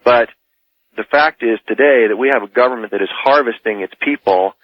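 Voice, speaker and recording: A man's voice: medium pitch, a little fast, no echo. Insistent man on a dull radio call. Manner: insistent, argumentative. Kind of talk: heated political debate.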